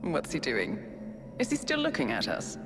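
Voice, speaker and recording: An actress playing a cold, mocking handler in a video game cutscene, taunting with theatrical, drawn-out delivery. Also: female voice, low-pitched, wildly swooping pitch, noisy background. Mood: mocking, dismissive. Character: cold mocking female handler, hallucinated tormentor of an assassin.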